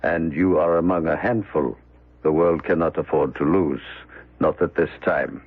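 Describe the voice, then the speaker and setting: An actor playing a formal, ominous manservant in an old radio drama, speaking in measured phrases. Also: male voice, extremely low pitch, steady tone, husky. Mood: grave warning. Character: grave, formal manservant, prophetic and accusing. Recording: muffled, narrow-band old radio broadcast.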